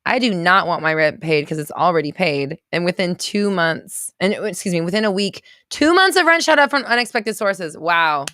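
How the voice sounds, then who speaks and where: A woman in her thirties chats through a casual livestream, reading viewers' comments aloud and reacting with quick laughs and exclamations. Her voice sits a little low for a woman. The sound is dry and close, with no room to it. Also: lively intonation, a bit bright, no echo. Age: 30s.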